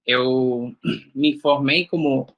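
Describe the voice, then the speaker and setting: Nicaraguan man lecturing, bright voice. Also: medium pitch, steady tone, extremely slow, rough recording. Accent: Nicaraguan Spanish. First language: Spanish. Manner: casual, joking, many fillers like "né" and "é". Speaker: graphic designer teaching a workshop. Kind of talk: online workshop lecture.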